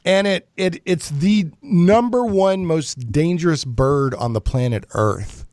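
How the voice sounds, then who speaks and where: A male talk show host with a voice a little higher than most, recorded dry and close. He rambles through casual stories and banter, joking with a mock-serious delivery. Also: slow pace, a little mellow, no room sound. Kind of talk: casual chatty radio banter.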